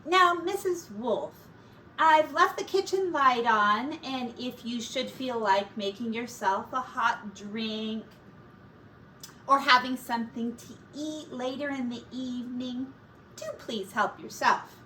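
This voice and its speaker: A woman voicing a bright, polite, fussy mother pig in a children's storybook. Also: fairly high pitch, slow pace, far from the mic, a little hiss or hum. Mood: cheerful, obliging.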